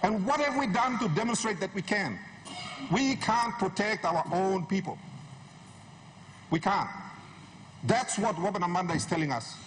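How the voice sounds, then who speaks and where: High-pitched, emphatic politician man. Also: normal speed, pauses often, a bit bright. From Papua New Guinea. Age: middle-aged. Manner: emphatic, rhetorical, repeats phrases for effect. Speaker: member of parliament. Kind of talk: impassioned parliamentary speech. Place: large wood-panelled parliament chamber. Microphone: desk gooseneck microphone, standing. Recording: noisy parliament chamber microphone.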